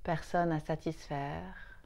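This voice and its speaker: Very slow, mellow woman guiding meditation. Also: deep voice, steady tone, vocal fry. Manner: soothing, unhurried, with long pauses between short phrases. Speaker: yoga nidra guide. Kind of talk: guided meditation.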